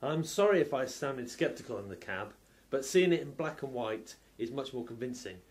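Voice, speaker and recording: A man playing a sceptical, polite police inspector in a steampunk radio play, with a measured manner. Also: medium pitch, animated, close to an everyday voice. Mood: considering.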